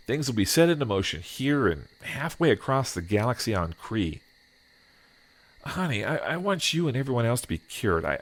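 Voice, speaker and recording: A man playing an earnest, self-doubting husband in an audio drama, with a hesitant, weary way of talking. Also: medium pitch. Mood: anxious, resigned. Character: earnest, self-doubting husband burdened with a world-changing decision. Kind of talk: audio drama acting with first-person narration. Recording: noticeable background noise.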